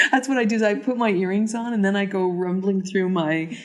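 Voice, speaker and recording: A woman co-hosting a yarn shop podcast, chatting casually and warmly about knitting, often trailing off and restarting mid-sentence. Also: mid-range voice, fairly even intonation, moderate pace.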